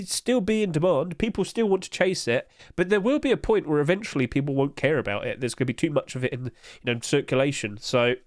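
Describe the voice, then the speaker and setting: A man's voice: a little high, no echo, studio quality. A man with a blocked-up nose, chatting casually over his screen about in-game item prices, loose and full of fillers. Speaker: gaming market commentator. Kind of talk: casual screen-share commentary.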